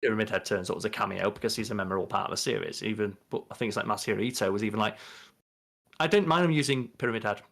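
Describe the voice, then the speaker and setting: A man's voice: lowish voice, rapid-fire, gravelly, fairly bright tone. A young man, a podcast co-host, chatting casually and explaining in a rambling way from a bedroom on a video call. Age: young adult. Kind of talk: casual movie chat.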